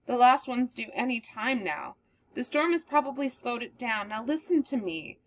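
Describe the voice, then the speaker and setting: A woman voicing a calm, kindly gentleman who soothes a frightened girl in a read-aloud play. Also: fairly high pitch, moderate pace, voice pushed up. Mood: soothing. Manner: soothing, reassuring.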